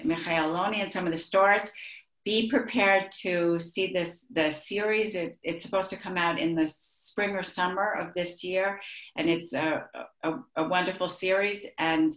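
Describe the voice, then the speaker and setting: A woman's voice: on the low side, fairly bright tone. A middle-aged woman with an Israeli accent, a guest author in an online interview, who talks with many restarts and laughs easily. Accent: Israeli-accented English. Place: home room with bookshelves. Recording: echoey room.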